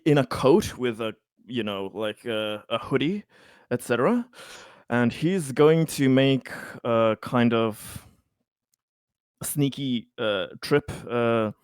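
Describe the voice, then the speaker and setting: Halting man with a clean, smooth voice. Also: medium pitch, no echo, studio quality. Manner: halting, tentative phrasing. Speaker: tabletop game player. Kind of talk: casual game talk.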